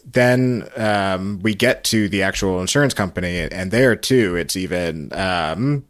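A man's voice: deep voice, unhurried. A man hosting a recap podcast, explaining things casually in a hesitant, lightly amused way. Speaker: podcast host.

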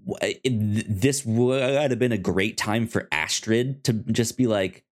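A man co-hosting a casual TV show discussion, talking haltingly, repeating words and trailing off mid-thought. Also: fairly low pitch. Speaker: podcast co-host.

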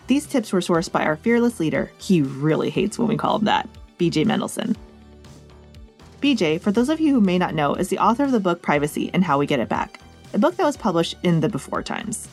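Lowish-voiced woman reading podcast tips, dry jokes, light music under it. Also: sing-song, a little fast. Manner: wry, jokey delivery of tips. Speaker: podcast host. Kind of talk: scripted podcast narration. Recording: faint music bed behind.

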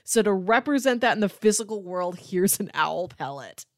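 A woman's voice: medium pitch, animated, moderate pace, no echo, studio quality. A woman co-hosting a podcast, talking casually about books and explaining through listed examples. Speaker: podcast co-host. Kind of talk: casual book discussion.

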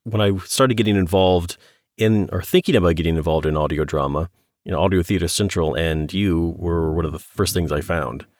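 A man's voice: low voice, brisk, darkish tone, dry recording, clean studio sound. A man hosting a conversational interview, speaking in a thoughtful, appreciative way. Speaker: podcast host, interviewer.

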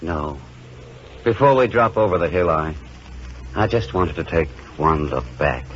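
An actor playing a gentle, devout small-town pastor in an earnest, measured 1940s radio drama style. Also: male voice, low voice, animated, a little hiss or hum. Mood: tender, wistful. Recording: dull vintage radio recording with music.

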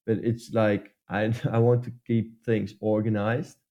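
A man with a Dutch accent gives advice in a loose, halting way, full of "uh" and "like" and restarts. His voice sits fairly low and sounds mellow and dark. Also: level tone, highs cut off. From Netherlands. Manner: hesitant, many fillers and restarts. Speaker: podcast co-host. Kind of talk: casual advice chat.

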